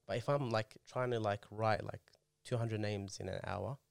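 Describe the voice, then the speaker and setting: A man's voice: fairly low pitch, fairly even intonation, normal speed, vocal fry, clear, resonant tone, dry recording, studio quality. A man, a calligrapher explaining his craft in a casual conversation. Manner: eager, explains at length. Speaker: calligrapher guest.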